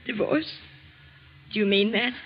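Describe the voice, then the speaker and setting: An actress playing an anguished, accusing wife in a dramatic, imploring 1940s radio drama style. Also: female voice, mid-range voice, a little slow, slightly rough, lo-fi sound. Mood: stunned, resigned. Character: anguished, accusing wife clinging to a failing marriage. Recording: noisy vintage radio drama recording.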